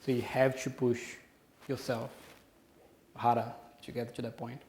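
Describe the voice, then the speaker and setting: A man with a Brazilian accent answers questions from the floor after giving a conference talk. His voice sits at a middle pitch, and he hesitates often, with ums and restarted phrases. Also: little pitch movement, a little slow, darkish tone, slight background noise. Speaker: conference speaker. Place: conference room.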